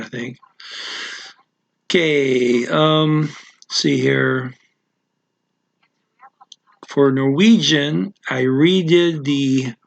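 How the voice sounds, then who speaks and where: A man, a language teacher, giving a casual weekly channel update in a halting way, clearing his throat and using many fillers. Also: medium pitch, rough recording.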